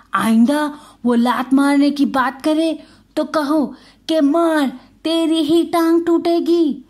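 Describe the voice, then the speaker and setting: A clever, bossy uncle crow from a folk tale, put on by a woman storyteller. He speaks in a high voice and scolds with exasperated, drawn-out exclamations. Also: natural voice.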